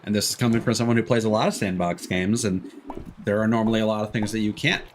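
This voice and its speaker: A man's voice: on the low side, steady tone, quick pace, a little hiss or hum. A man doing casual live game commentary, rambling candidly and swearing offhandedly. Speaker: game streamer. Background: game music.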